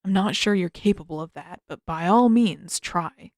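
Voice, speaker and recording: A woman's voice: mid-range voice, moderate pace, a little mellow, no room sound, natural voice. A woman reader puts on a prickly young prince in an adventure story. He is guarded and sullen, answering in short, defensive bursts with a dry edge. The recording has no audible room.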